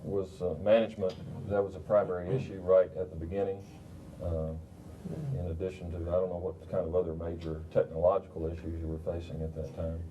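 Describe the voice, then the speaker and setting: An older man moderating a roundtable in a formal, measured, somewhat halting way. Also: flat delivery, warm tone, highs cut off, a little hiss or hum. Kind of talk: formal, informally unscripted introduction. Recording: rumbly 1990s video recording.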